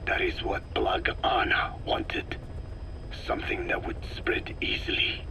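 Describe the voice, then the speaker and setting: A man's voice: in a whisper, close to an everyday voice. An actor playing a grave, learned old man, a scientist lecturing formally in a video game cutscene. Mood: brooding. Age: older. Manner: solemn, deliberate, formal lecturing.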